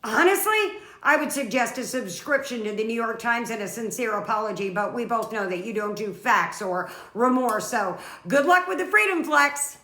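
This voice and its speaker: Comedy skit: female performer as sardonic, exasperated office coworker, withering and articulate, bright tone, echoey room. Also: on the high side, lively intonation, normal speed, hardly any pauses. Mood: dry, mocking. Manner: dry, withering, articulate sarcasm.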